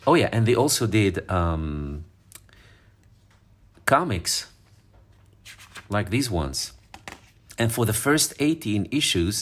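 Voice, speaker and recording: Man, from Morocco, very low voice, nostalgic, rambling, casual vlog chat. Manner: enthusiastic and nostalgic, rambling with fillers and restarts. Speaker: vlogger. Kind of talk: casual show-and-tell vlog.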